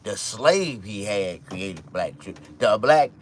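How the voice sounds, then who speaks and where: A man around fifty with a Mississippi accent, talking to camera in a loose, profane, rambling monologue with sudden loud outbursts. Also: lowish voice, expressive, slow, slight background noise. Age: 50s. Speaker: vlogger and stand-up comedian.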